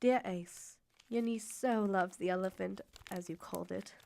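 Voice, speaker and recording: An actress playing a wounded, reproachful young Victorian mother in a radio drama, reading letters in formal, measured, emotive diction. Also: medium pitch, gravelly, darkish tone, lightly acted. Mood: reproachful, resigned.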